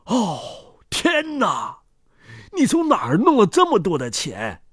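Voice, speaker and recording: A man as a brutish, greedy peasant, wildly expressive. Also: high-pitched, slow pace, slightly rough, no echo, clean studio sound, heavily acted. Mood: greedy amazement.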